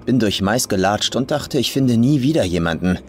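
A man's voice: fairly low pitch, animated, normal speed, soft background music, close to an everyday voice. A man voicing a guarded hitchhiker, no longer young, who speaks in casual, clipped phrases in an audio horror story. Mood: eager, casual. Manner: casual, clipped colloquial speech.

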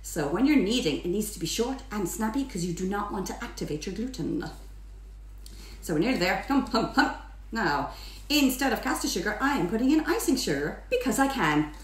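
A woman's voice: medium pitch, sing-song, brisk. A middle-aged Irish woman hosting a chatty home baking demonstration in a playful, theatrical, exuberant way, sometimes sing-song. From Ireland. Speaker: baking teacher and host. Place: home kitchen, indoors. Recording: echoey kitchen, mic at a distance.

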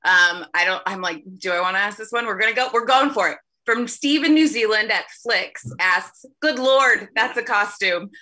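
A woman's voice: mid-range voice, normal speed, few pauses, bright, forceful tone. A young woman moderating a virtual press conference over a video call, reading out questions.

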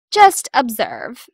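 A woman's voice: on the high side, breathy edge, no echo, clean studio sound, full character voice. A woman playing a bossy, vain, manipulative teenage girl giving lessons in an audio drama.

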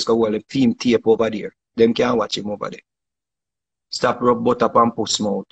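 A man's voice: mid-range voice, gravelly, a bit bright, breathy edge, low-quality recording. A man in his forties with a Jamaican accent, ranting fiercely on a phone livestream from his living room, slipping into patois. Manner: fiery, preachy, lapsing into patois. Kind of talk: animated phone livestream rant. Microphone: phone held close.

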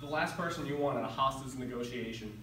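Teen guy hosting improv, brisk announcer vibe, echoey room, loud noise, far from the camera. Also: medium pitch. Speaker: improv show host. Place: school classroom.

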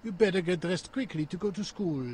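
A man's voice: high-pitched, brisk, darkish tone, a little hiss or hum, close to an everyday voice. A man voicing a stern father who lectures his son firmly. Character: stern father, ice cream maker.

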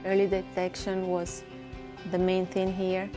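A middle-aged woman gives an interview testimonial slowly, with almost no pitch movement. Her tone is dark and mellow, over faint music. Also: completely flat delivery. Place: indoor room with bookshelves.

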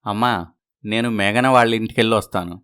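A man playing a dutiful adult son in an animated moral story, speaking earnestly and pleadingly. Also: on the low side, brisk, smooth, natural voice. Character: dutiful adult son torn between wife and parents.

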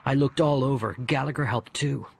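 A man's voice: medium pitch, steady tone, normal speed, gravelly, breathy edge. A man reading a first-person audiobook story expressively, acting out the dialogue. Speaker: audiobook narrator. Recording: music behind the voice. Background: music.

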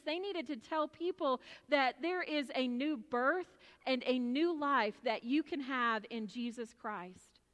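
A middle-aged American woman pastor delivering a sermon with personal storytelling in a loud, emphatic, projected voice. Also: sits high. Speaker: pastor preaching. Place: large church sanctuary, at pulpit. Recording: reverberant large church hall.

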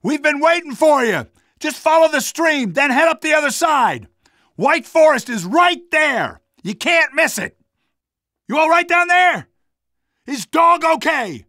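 An actor playing a cocky, stubborn rebel fighter in a video game, casual, streetwise and gravelly. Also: male voice, very high voice, sing-song, unhurried, sharp tone, no echo, clean studio sound, full character voice. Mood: urgent, friendly.

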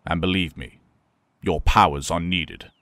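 A man playing a solemn, persuasive middle-aged professor and mentor in an audio drama, speaking in measured, formal phrases. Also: low-pitched, fairly even intonation, fairly bright tone, dry recording.

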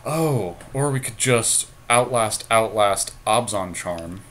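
A man's voice: on the low side, lively intonation, slow pace, fairly bright tone, slight background noise. A man giving casual game commentary as a co-host, thinking aloud in an analytical way. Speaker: podcast co-host playing a card game.

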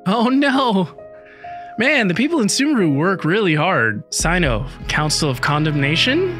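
A man in his thirties giving casual, excited reaction commentary as a video host, close on the mic. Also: high-pitched, unhurried. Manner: excited, wowed. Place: small indoor room. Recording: loud trailer music underneath.

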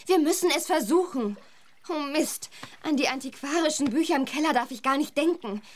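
A spirited young girl adventurer in a children's radio play. Her voice is very high and animated, earnest and determined, over some background noise. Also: normal speed. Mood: determined, urgent. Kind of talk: children's audio drama acting.

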